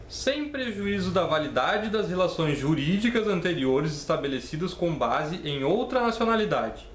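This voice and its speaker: Brazilian man in his twenties, echoey room. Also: high voice. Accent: Brazilian Portuguese. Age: 20s. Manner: conversational, checks in with "beleza?" and "né?". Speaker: channel host explaining citizenship law. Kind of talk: casual explainer reading legal text. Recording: echoey room with faint ambient noise.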